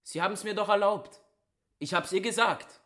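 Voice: mid-range voice, moderate pace, a bit bright, close to an everyday voice. A performer playing an indignant, tattling small boy in a radio play, whining and complaining. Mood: indignant complaint.

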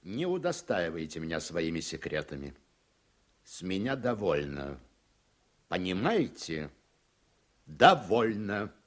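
An actor in a radio play playing a stern, easily indignant judge who speaks formally and with heavy emphasis. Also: male voice, low voice, very animated, slow, pauses often, heavily acted. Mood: weary, stern.

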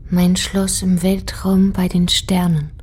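An actress playing a mysterious beckoning dream figure in a radio play, with a breathy, hushed, incantatory delivery. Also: female voice, on the low side, flat delivery, slow. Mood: beckoning, hypnotic. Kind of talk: poetic radio play monologue. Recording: studio radio drama with music underneath. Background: music.

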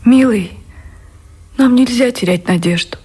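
An actress playing a tender, devoted woman in love in a radio drama, speaking in a hushed, gentle way. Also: fairly high pitch, measured pace, husky. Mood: tender, worried. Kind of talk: intimate radio drama acting.

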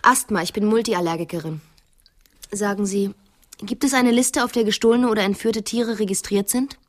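An actress playing a restless, quick-witted wife who bluffs her way along, cool and sardonic, in a radio play. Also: mid-range voice, natural voice. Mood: cool bluffing. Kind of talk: 1990s German radio play acting.

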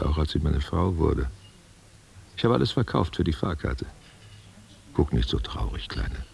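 A man's voice: rumbling low, creaky, warm tone, full character voice. An actor playing an old man, a reminiscing stage player who talks tenderly and theatrically in a literary radio drama. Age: older. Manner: musing, theatrical, answering unheard questions. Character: reminiscing old German actor, tender and musing over wine.

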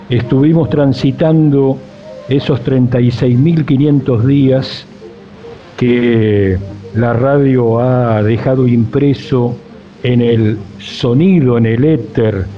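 Male radio host, Argentine accent, slow, measured, elaborate phrasing, dull sound, music behind. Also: medium pitch, mellow. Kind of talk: reflective radio interview introduction.

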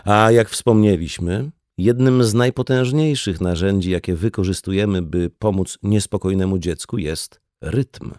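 Low-voiced man narrating, dry sound. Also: unhurried, few pauses, a little mellow, no echo. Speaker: audiobook reader. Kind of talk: scripted narration of a conversational non-fiction book.

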